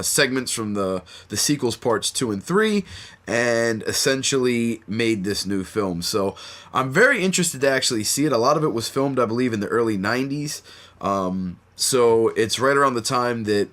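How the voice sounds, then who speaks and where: A man with a stuffy nose from a cold hosts a casual podcast. He talks in a relaxed, slangy way with some swearing and many "uh"s. His voice sits at a middle pitch. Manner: laid-back, slangy and swearing, many fillers. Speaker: podcast host.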